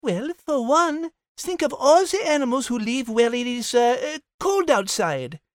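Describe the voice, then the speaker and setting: A woman's voice: on the high side, very animated, unhurried, dry recording, clean studio sound. A woman voicing a prim cat. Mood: explaining. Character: smart female cat co-host, French manner. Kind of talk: scripted podcast banter.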